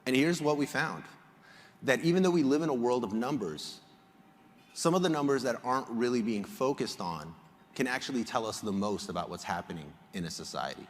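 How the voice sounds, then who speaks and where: A man from Egypt giving a prepared public presentation as a researcher, explaining in a measured way with emphatic phrasing. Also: medium pitch, lively intonation. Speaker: conference speaker, polling researcher. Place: large indoor hall, on stage. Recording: reverberant hall sound.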